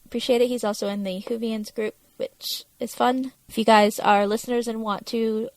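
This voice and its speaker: A woman's voice: on the high side, flat delivery, moderate pace, no room sound. A woman co-hosting a podcast, chatting in a casual conversational style. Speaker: podcast co-host.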